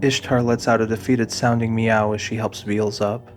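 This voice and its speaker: A man narrates a fantasy audio drama in a deliberate storytelling style. His voice is fairly low and stays level, with faint music playing behind it. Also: little pitch movement.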